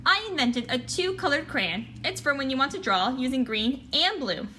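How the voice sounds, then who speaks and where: Woman voicing an eager, high-pitched little girl inventor. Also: normal speed, slight background noise, full character voice. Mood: proud. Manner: excited, insistent. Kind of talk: children's picture book read-aloud.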